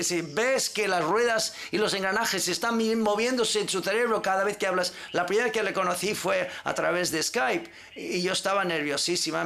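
New York woman, gravelly, storytelling. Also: lowish voice. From United States. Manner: lively storytelling with frequent restarts. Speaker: actress. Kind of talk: press conference answer.

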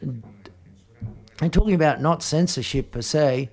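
A man interpreting live at a film press conference, speaking in halting, piecemeal phrases and often correcting himself. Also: fairly high pitch.

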